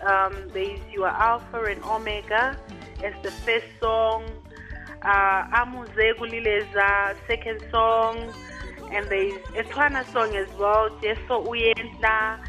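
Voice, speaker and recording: A woman's voice: fairly high pitch, fairly even intonation, unhurried, pushed and bright, faint music behind. A young adult woman with a Zimbabwean accent, a Tonga speaker, answering radio interview questions earnestly with many hesitations. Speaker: gospel singer, guest.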